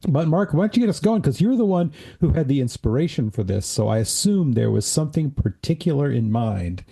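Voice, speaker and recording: A man hosts a relaxed talk show, his voice raspy from a dry throat. His tone is very dark and mellow. He steers the talk in a friendly, easy way. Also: mid-range voice, fairly quick. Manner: friendly, leads the conversation. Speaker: podcast host. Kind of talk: casual chat.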